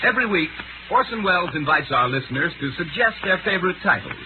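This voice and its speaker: A tinny vintage broadcast of a male announcer with music clearly behind him. His high-pitched voice is formal and declamatory, in 1930s radio style. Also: expressive, fast.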